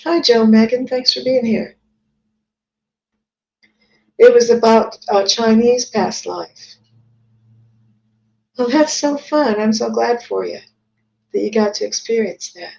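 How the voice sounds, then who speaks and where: A woman's voice: fairly high pitch, slow pace, pauses often, a little mellow. Scratchy-voiced woman hosting a live reading. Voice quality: scratchy, gravelly voice. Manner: chatty, friendly, reads viewer comments aloud. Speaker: psychic reader streaming live. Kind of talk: casual live-stream chat and card reading. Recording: dull lo-fi stream audio.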